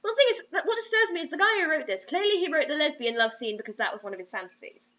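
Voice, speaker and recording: A woman's voice: high voice, expressive, rapid-fire, fairly bright tone. A young woman reading bad fiction aloud in a theatrical, overwrought, mocking way with asides. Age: young adult. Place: small indoor room. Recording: dull lo-fi webcam sound.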